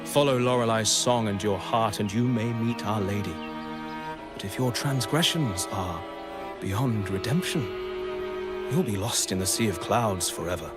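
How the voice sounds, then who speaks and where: A man's voice: lowish voice, a little slow, natural voice. A man playing a devout cult follower in a video game, preaching repentance in a solemn, pious manner. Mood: grave, reverent. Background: prominent music.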